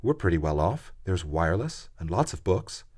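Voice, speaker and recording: A man's voice: low-pitched, very animated, moderate pace, a little mellow. Sensible, motherly big sister read by a man, storybook girl, prim and bossy.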